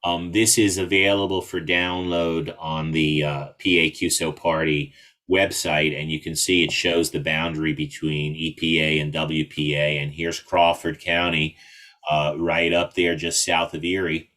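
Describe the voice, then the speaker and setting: A man giving a casual, somewhat halting explanation as the invited speaker in an online meeting. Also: very deep voice, flat delivery, pushed and bright. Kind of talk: casual explanatory talk with screen share.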